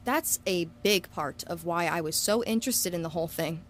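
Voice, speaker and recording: A woman's voice: lowish voice, moderate pace, faint music behind, close to an everyday voice. A woman playing a confident, scheming young student and true-crime podcaster in an audio drama, polite but pointed. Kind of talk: scripted audio drama acting.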